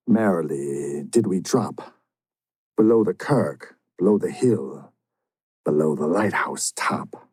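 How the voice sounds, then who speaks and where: A man's voice: low-pitched, darkish tone, slightly rough, dry recording, heavily acted. A man voicing an old weathered male sailor recounting his voyage in a grave, intoning manner.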